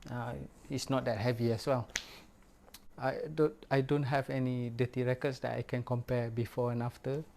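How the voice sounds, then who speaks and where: A man whose first language is Malay, giving a casual, unhurried product review in English with many pauses and fillers. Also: mid-range voice, little pitch movement, darkish tone, slight background noise. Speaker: record store owner reviewing gear.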